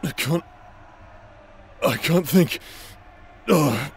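A man acting a tormented, possessed warrior in a dramatic fantasy audio roleplay, halting and stammering through broken phrases. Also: fairly high pitch, expressive, heavily acted. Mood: tormented, overwhelmed. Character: tormented warrior possessed by voices in his head.

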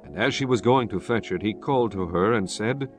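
A man narrating a dramatized Bible from a script in a solemn, measured storytelling manner. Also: on the low side, music in the background.

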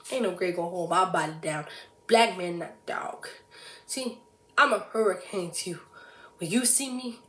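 A defiant prophet, slow, echoey. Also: female voice, on the low side. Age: young adult. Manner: slow, swinging from whispers to loud cries. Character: defiant, prophetic, wild stage character, proud and stormy. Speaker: student actor. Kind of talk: dramatic stage monologue with singing. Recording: echoey room.

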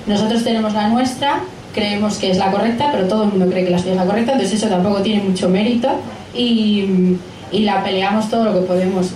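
A woman in her late twenties with an accent from Spain talks informally to a gathering. Her voice sits at an average pitch. It comes through an echoey room, picked up from a distance with faint noise. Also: brisk, darkish tone. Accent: Spain Spanish. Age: late 20s. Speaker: guest speaker, science and tech journalist. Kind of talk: informal talk and answering audience questions. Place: small pub event room, indoors. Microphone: handheld PA mic, picked up by a camera.

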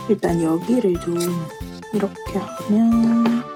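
A woman with a cold, her voice a little rough, chatting casually as she works on a paper craft project and thinking aloud. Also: mid-range voice, very slow, over music. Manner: chatty, thinks aloud, asks herself questions, soft asides. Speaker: stationery craft vlogger. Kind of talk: casual narration while crafting.

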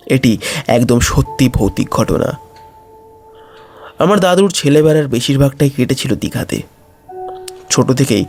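A man tells a scripted horror story with suspense. His delivery is very unhurried and his voice has a creaky, gravelly edge, with music playing behind it. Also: mid-range voice, a little mellow. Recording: music bed under voice.

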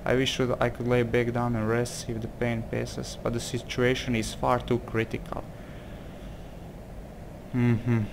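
A man's voice: medium pitch, monotone, a little slow, creaky, noisy background. A man from Croatia with a Croatian accent, casually commenting on a game, hesitant and exclamatory, reading its text aloud. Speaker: gameplay commentator. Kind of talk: casual let's-play commentary.

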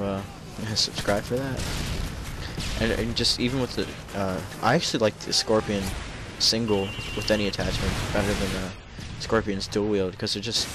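Hoarse teen gamer, low-pitched, restarts often, casual commentary over loud gunfire. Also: male voice, background noise. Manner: rambling, self-correcting, with frequent restarts. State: hoarse and sick. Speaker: gameplay commentator. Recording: loud gunfire from the game behind.

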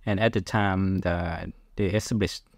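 A man's voice: deep voice, fairly even intonation, a little slow, no room sound, studio quality. A middle-aged man from Thailand with a Thai accent, answering casually as an interview guest, often searching for words. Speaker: podcast guest, film director.

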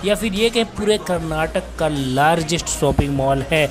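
A man's voice: a little high, lively intonation, normal speed. Chatty male travel vlogger, faint music. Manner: chatty, keeps rephrasing himself. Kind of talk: walking tour narration.

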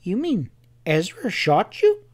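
Guy putting on raspy old cowboy pal, pitched up high, excitable n stubborn, folksy drawl. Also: slow pace, heavily acted. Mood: astonished. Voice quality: harsh, rasping. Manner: rustic Western drawl, folksy bad grammar. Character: gruff cowboy friend Sam, stubborn, excitable, fiercely loyal.

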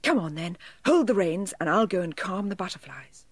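A woman's voice: lowish voice, expressive, moderate pace, breathy edge, no room sound. A woman with a British accent voicing a tiny, polite, pleading male elf in a children's storybook. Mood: grateful.

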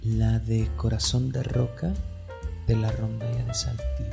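A woman plays a middle-aged Mexican ASMR streamer, deadpan and gravelly. She calls in to a comedy radio show, with music audible behind her. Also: extremely low pitch, unhurried, heavily acted. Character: deadpan forty-four-year-old woman, self-styled ASMR streamer.

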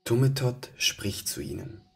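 Guy reading a kids story, really unhurried, lowish voice, hushed, soft music around. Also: lively intonation, creaky, breathy edge. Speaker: storyteller, narrator. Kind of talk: scripted children's story narration.

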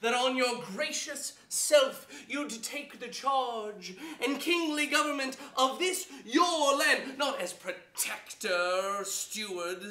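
A man playing a crafty, forceful courtly nobleman in an oratorical Shakespearean stage reading. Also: very high voice, full character voice. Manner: oratorical, deliberate, ringing. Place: theatre stage before an audience. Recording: echoey theatre stage.

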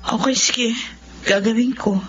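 A woman's voice: on the high side, steady tone, a little slow, husky. An actress playing a kind, obliging young woman in a radio romance drama. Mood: helpful. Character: kind young woman secretly in love with friend. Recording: muffled audio under loud music.

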